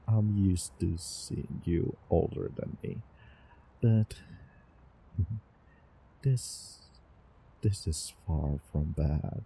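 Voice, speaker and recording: Guy doing a lovesick time travel yandere, low n really slow, halting, nervous little laughs, audio roleplay w faint background ambience. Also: slight background noise, heavily acted. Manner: halting, nervous, breathy chuckles. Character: doting, obsessive male time-traveling student in love. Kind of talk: intimate roleplay audio drama monologue. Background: soft background ambience.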